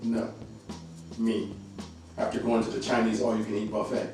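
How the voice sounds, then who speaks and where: A man's voice: lowish voice, flat delivery, normal speed, lightly acted. A man acting in a radio drama as an easygoing, worldly uncle who banters with affection and teases protectively. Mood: teasing. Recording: very echoey, distant mic, faint music.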